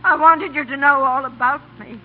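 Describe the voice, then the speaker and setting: An actress playing an anxious, loving older mother in a halting, emotional 1930s radio style. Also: sits high, fairly even intonation, soft background music, natural voice. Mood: earnest, tremulous. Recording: muffled 1930s radio broadcast recording.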